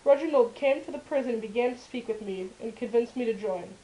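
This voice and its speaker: Girl doing a puppet show activist guy, firm and defiant, kinda high voice, reading out his story. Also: brisk, mellow, natural voice, rough recording. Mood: earnest, firm. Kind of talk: scripted first-person narration for a school puppet show.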